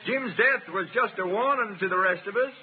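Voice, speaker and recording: Man acting a high-voiced, worried street beggar. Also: fairly quick, a little hiss or hum, lightly acted. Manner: colloquial, complaining. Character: agitated street beggar man, fearful and cynical. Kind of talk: 1930s radio acting. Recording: tinny 1930s radio, crowd behind.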